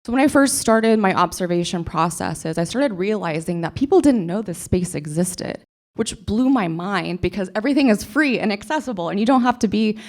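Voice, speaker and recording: A woman's voice: mid-range voice, animated, normal speed, darkish tone. A young woman from Oklahoma, a librarian giving a short conference presentation, talking earnestly and enthusiastically with small restarts. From Oklahoma, USA. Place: conference stage.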